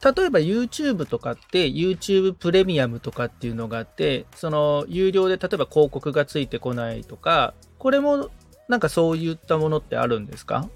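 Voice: mid-range voice, very animated, fairly quick, gravelly, faint music behind. A podcast assistant who asks the host curious, hesitant questions, with many fillers. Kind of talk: casual chat.

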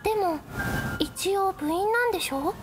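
A woman playing a mild, easily flustered high school girl in a radio drama, earnest and a little hesitant, sometimes narrating her own life. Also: moderate pace, music in the background. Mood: hesitant, concerned. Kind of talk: Japanese radio drama acting with narrated asides.

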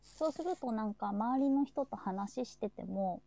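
Mellow-voiced woman chatting casually. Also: a little high, expressive, measured pace, gravelly, no room sound. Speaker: host of a live chat show. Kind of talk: casual chat.

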